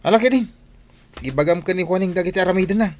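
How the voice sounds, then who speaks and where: A man's voice: sits high, fast talker, a little mellow, close to an everyday voice. A man playing a lazy, jokey middle-aged utility worker in a comedy radio drama, whining and exclaiming.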